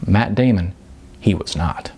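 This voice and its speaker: A man's voice: low voice, unhurried, darkish tone, breathy edge. A man reading his own novel aloud in audiobook style, with a wry manner. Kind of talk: audiobook-style narration of fiction.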